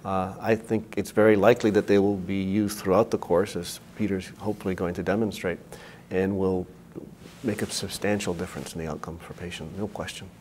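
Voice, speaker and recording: A man's voice: sits low, flat delivery, fairly quick, a little mellow, a little hiss or hum. A middle-aged man, giving expert answers in a medical panel interview. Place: indoor conference interview set.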